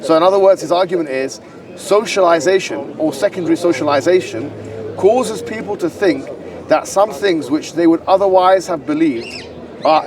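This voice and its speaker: A man with a London accent arguing forcefully in an outdoor street debate, lecturing and insistent. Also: a little high. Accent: London English. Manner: argumentative, lecturing, quick and insistent. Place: outdoor public park with crowd. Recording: distant street recording with crowd around.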